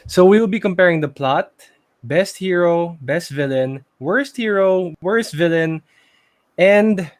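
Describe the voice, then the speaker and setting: Filipino man thinking aloud on a podcast. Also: fairly high pitch. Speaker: podcast co-host. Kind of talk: casual pop culture podcast chat.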